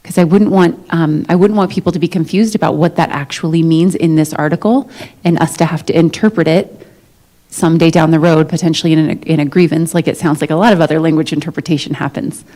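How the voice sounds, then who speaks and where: A woman speaking as a management negotiator in a formal but conversational meeting, explaining things in a measured, diplomatic way. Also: sits low, fairly even intonation, warm tone. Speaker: negotiator for a school district's management. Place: meeting room, live-streamed negotiation session.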